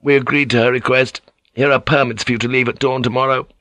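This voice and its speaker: A man's voice: mid-range voice, fairly even intonation, moderate pace, gravelly, a bit bright, no room sound, pitched above the performer's own voice. A man voicing an arrogant, ruthless Japanese warlord general in an audiobook, with a cold, scornful manner. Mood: scornful, commanding. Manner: cold, scornful, rhetorical questioning. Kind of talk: dramatic audiobook character voice.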